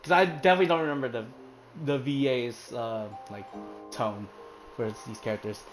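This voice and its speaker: A man's voice: medium pitch, normal speed, faint music behind. Rambling casual male streamer. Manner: rambling, filler-heavy, swears casually. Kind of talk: casual commentary while playing a game. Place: hot room at home with a fan running.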